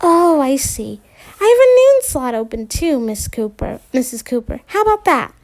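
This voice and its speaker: A woman reads as a chirpy, efficient receptionist booking appointments. The voice is high and bright in its lift, with lively swings in pitch. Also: moderate pace. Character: chirpy female office receptionist.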